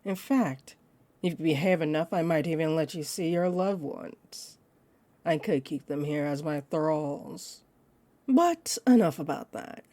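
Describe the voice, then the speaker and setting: A woman acts out an ancient female vampire in a roleplay drama, with a low-pitched voice and slow, deliberate delivery. She is haughty and possessive, teasing her prey with amused condescension. The sound is close and very dry. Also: warm tone, dry recording. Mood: possessive, condescending. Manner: haughty, unhurried, amused, drifting into breathy near-whispers. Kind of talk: roleplay audio drama monologue, addressed to listener.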